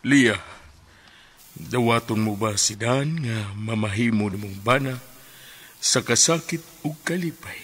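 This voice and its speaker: A man's voice: mid-range voice, unhurried, long pauses, a bit bright, breathy edge. A man playing a solemn priest officiating a wedding in a radio drama.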